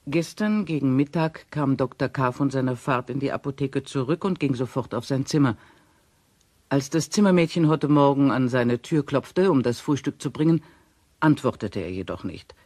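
A matter-of-fact reader played by a man delivers a formal witness statement in a radio drama. The voice is medium in pitch, orderly, and recorded without any room sound. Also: moderate pace, darkish tone, no room sound, lightly acted.

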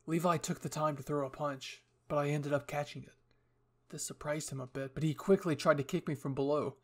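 A man's voice: fairly high pitch, steady tone, fairly quick. A young man reading scripted material as the narrator and host of a story channel.

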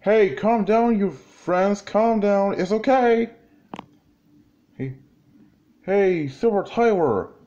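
A man reads a children's story in a high voice, taking his time between halting phrases. His pitch jumps around in an animated, exclamatory read-along style. The recording is dull, with faint noise behind it. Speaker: storyteller reading a homemade children's book.

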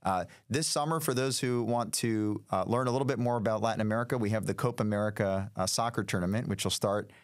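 A man's voice: on the low side, quick pace, hardly any pauses, no room sound. A man in his forties hosting a podcast interview, conversational and summing up his guest's points. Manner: conversational, summarizing questions. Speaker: podcast host. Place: small studio room. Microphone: studio mic on stand, headphones. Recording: dry studio sound, faint music.

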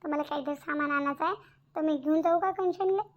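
Woman voicing a very high-voiced, coaxing young friend. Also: steady tone, fairly quick, dull audio, close to an everyday voice. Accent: rural Maharashtrian Marathi. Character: polite, crafty young village girlfriend. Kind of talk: cartoon comedy dialogue.

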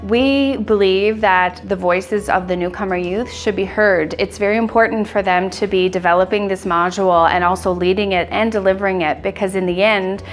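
A woman speaks calmly and steadily, explaining her project in measured sentences. She sits in a bright office room, recorded on a lapel mic, with soft music underneath. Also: mid-range voice. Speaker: project lead. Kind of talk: interview to camera.

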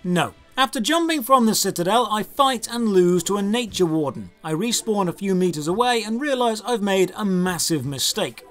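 High-pitched sardonic British man reviewing games. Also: expressive, moderate pace, hardly any pauses, a bit bright, faint music behind. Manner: sardonic, critical. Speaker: video game reviewer. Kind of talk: scripted review narration. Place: small room lined with game shelves.

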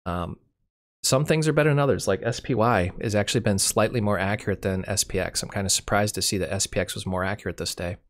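A man's voice: on the low side, fast talker. A man with a cold and a fading voice, casually walking through a screen share off the cuff, correcting himself as he goes. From Michigan. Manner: unscripted, self-correcting, dry humor. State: has a cold, losing his voice. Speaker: channel host.

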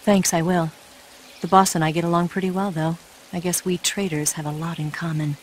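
A woman's voice: lowish voice, level tone, brisk, slight background noise, lightly acted, low-quality recording. A woman playing a cool, teasing American spy with a coy, knowing manner in a video game. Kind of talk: video game cutscene acting.

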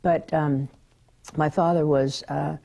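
Older woman, TV interview guest; low, gravelly, husky voice, earnest and affirming. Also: fairly even intonation, slow, dark tone. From Southern California. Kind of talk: television interview. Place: studio set, indoors.

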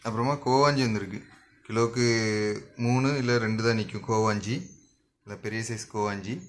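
A man's voice: on the low side, fairly even intonation. Man listing fresh fish at a market stall. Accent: Kanyakumari Tamil. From Kanyakumari district, South India. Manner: brisk repetitive listing, like a vendor calling out stock. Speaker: fish shop owner listing the day's catch. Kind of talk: spoken product rundown for a shop channel.

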